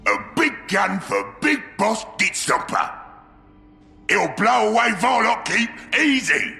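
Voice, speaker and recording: Gravelly, scheming ork warboss. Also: male voice, high voice, big pitch swings, takes it slowly, pushed and bright, soft background music, exaggerated voice. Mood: bellowing, sly. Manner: gruff, sly, swinging into bellows. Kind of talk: video game cinematic acting.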